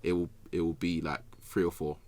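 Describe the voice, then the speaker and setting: A man's voice: deep voice, little pitch movement, a little fast, darkish tone, no room sound. A man giving his take as a football podcast pundit in casual, slangy speech, often repeating phrases for emphasis. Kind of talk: casual sports chat.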